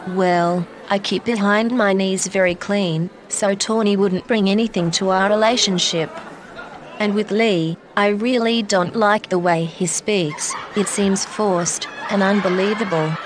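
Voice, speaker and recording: A woman's voice: mid-range voice, fairly even intonation, measured pace, crowd noise, noisy background, natural voice. A woman playing a blunt, matter-of-fact dating show contestant.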